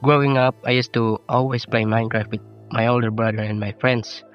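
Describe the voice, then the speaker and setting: A man's voice: medium pitch, little pitch movement, fairly quick, smooth, lo-fi sound. A teenage male YouTuber from Indonesia with an Indonesian accent, speaking English as a second language in a reflective, self-deprecating personal narration. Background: game soundtrack music.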